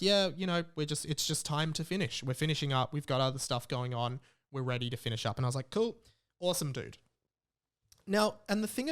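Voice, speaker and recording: A young Australian man speaks in a medium-pitched voice as he hosts a podcast on his own. His delivery is casual and candid, wandering through his thoughts with plenty of filler words like a chat with friends. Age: young adult. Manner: candid, rambling, peppered with "like". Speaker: podcast host. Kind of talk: casual solo podcast monologue.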